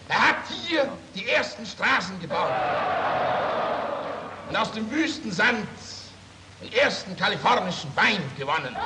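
Festive town orator: very high projected voice, slow solemn declamation, noisy surroundings. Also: male voice, full character voice. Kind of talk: period film speech within radio drama. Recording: noisy ambient scene.

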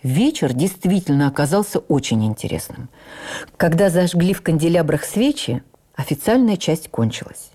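A woman playing an actress who reverently recalls her life, in an expressive, measured dramatic narration for radio. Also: low-pitched, slightly rough, exaggerated voice. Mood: admiring, reflective. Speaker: actress reading memoirs on radio. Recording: radio broadcast with faint music.

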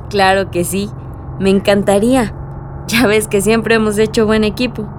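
A woman playing a hopeful, sincere young engineer with a Mexican accent in a warm radio drama style. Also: mid-range voice, little pitch movement, a little slow, a little hiss or hum, lightly acted. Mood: excited.

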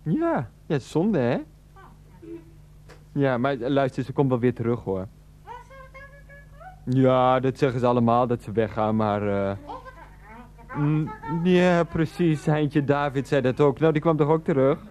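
Man as an exasperated radio-drama husband, stammering, incredulous, placating, middling pitch. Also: unhurried, pauses often, a little mellow, close to an everyday voice. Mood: incredulous, soothing. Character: exasperated, put-upon husband and dutiful son. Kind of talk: radio play dialogue.

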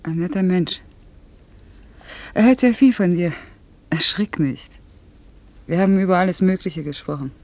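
An actress playing a proud woman in a radio drama, talking in a cool, brisk and faintly mocking way. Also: on the low side, animated, a little mellow, no echo. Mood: matter-of-fact. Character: proud woman coolly parting from a former lover. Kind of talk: naturalistic German radio drama acting.

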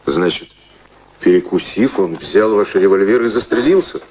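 An actor in a radio drama playing a wry, practical, devoted gentleman, ironic and urgent, with expressive delivery. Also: deep voice, moderate pace. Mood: probing. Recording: faint background noise.

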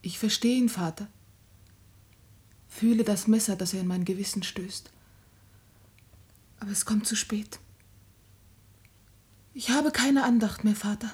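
An actress playing a devout, lovesick young daughter in a classic radio drama, fervent and anguished, often breathy and close to a whisper. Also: female voice, medium pitch, measured pace, pauses often, darkish tone, close to an everyday voice. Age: young adult. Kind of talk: classic German stage drama radio acting.

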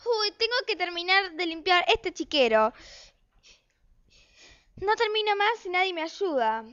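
Woman playing a high-voiced, harried radio-play mother. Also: lively intonation, unhurried, a bit bright, heavily acted. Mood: exasperated. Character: harried, bossy mother of a family. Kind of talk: amateur radio play acting. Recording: dull lo-fi home recording.

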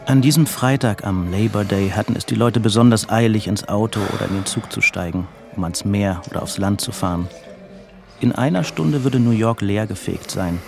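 A man's voice: lowish voice, moderate pace, vocal fry, darkish tone. A man narrating a radio play in a literary, restrained and introspective way, with music behind him. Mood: measured, reflective. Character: restrained, introspective male narrator of a crime novel.

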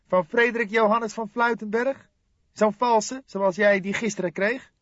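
A man reading an audiobook, voicing an excitable young police detective who questions eagerly and indignantly. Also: high-pitched, measured pace, no room sound, highs cut off, voice pushed up. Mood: eager, probing.

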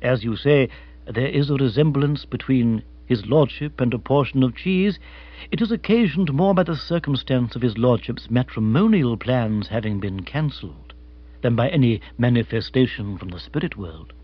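A man's voice: mid-range voice, expressive, normal speed, breathy edge, highs cut off, pitched below the performer's own voice. A man voicing an unflappable, formal English manservant in a storybook, very polite, precise and wordy. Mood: measured, deferential. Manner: stately, circumlocutory, very polite.

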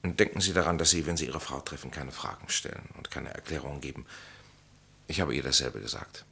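An actor playing an enigmatic, knowing stranger in a German radio drama, speaking in grave, measured, philosophical pronouncements with authority. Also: male voice, a bass voice, vocal fry, sharp tone, husky, heavily acted. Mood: solemn, firm.

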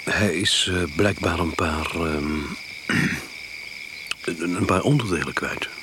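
A very low-pitched man is a polite, uneasy guest in a naturalistic radio play. He stammers and hesitates, repeating words as he goes, while insects and frogs sound loudly around him. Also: slow, noisy background. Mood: polite, hesitant. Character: polite, hesitant, uneasy male visitor from abroad. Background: loud crickets, frogs and animal sounds.

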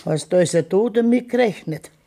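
A woman's voice: mid-range voice, fairly quick, gravelly, warm tone. An older-sounding actress with a Bavarian accent playing an outspoken, scolding farm grandmother in a radio drama. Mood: worried, reproachful. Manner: scolding, questioning. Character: outspoken old widowed farm grandmother, indignant. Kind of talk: German dialect radio drama acting.

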